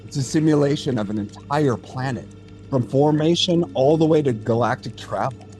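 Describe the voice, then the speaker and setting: A man's voice: mid-range voice, moderate pace, a little mellow, natural voice. Patient alien technician, a man playing him over music. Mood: matter-of-fact, explaining. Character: experienced alien data center technician, male, patient, thoughtful. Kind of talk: audio drama dialogue.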